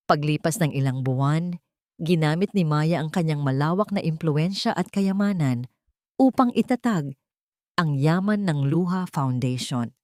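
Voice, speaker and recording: A woman's voice: fairly low pitch, darkish tone, no room sound, studio quality. A woman with a Filipino accent narrating a scripted, dramatic audio story.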